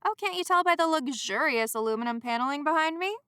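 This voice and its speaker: An actress playing a sarcastic, curious young reporter in a comedy audio drama. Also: female voice, high voice, lively intonation, fast, bright, forceful tone, no room sound, studio quality. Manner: sarcastic, teasing. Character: wry, curious young female reporter chasing a story.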